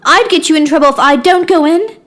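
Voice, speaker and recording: A woman's voice: high voice, a little fast, a bit bright. A woman voicing a naive, anxious young lady, polite but insistent, earnest and questioning in amateur period drama.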